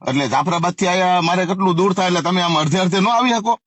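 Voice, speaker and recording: Fast, high-voiced, pushy Saurashtra Gujarati man. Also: fairly bright tone, no room sound. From Gujarat, India. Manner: pushy, challenging, insistent. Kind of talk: confrontational phone conversation. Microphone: phone call.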